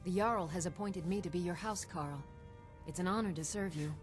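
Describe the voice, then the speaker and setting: A woman's voice: lowish voice, moderate pace, natural voice. A woman playing a dutiful, earnest warrior servant in a fantasy video game, plain-spoken. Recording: clear music under the voice.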